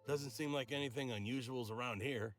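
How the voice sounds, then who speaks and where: A man's voice: medium pitch, fairly quick, faint music behind, natural voice. A man playing an unobservant, muttering guard on patrol in a video game. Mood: bored, searching.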